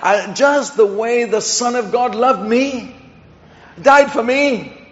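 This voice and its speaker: A man preaches with an English accent in a notably high voice. His sermon delivery is earnest and conversational, rising into emphasis. The recording is somewhat dull, with the long reverb of a hall around his words. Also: slow. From Chile. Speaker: visiting preacher and pastor. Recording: dull, echoey hall sound.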